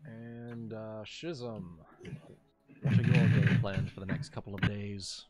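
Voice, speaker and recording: A man's voice: lowish voice, fairly even intonation, darkish tone. A man running a tabletop game as game master, speaking casually and hesitantly as he narrates. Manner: hesitant, halting delivery.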